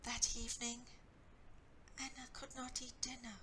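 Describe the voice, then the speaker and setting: Bright, high-voiced woman storyteller. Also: flat delivery, a little hiss or hum. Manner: deliberate, dramatic phrasing. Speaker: author and storyteller. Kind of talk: expressive story reading.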